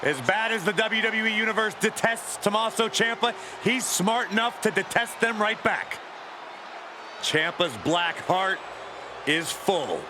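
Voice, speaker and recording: A man's voice: high-pitched, animated, measured pace. A man playing a brash, opinionated pro wrestling commentator in a video game, calling action with punchy emphasis. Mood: emphatic. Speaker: color commentator. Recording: loud arena crowd noise throughout. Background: roaring arena crowd.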